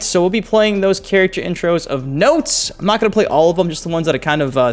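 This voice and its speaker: Male gaming video host, slightly high voice, informal and slangy, an enthusiastic fan delivering casual news commentary.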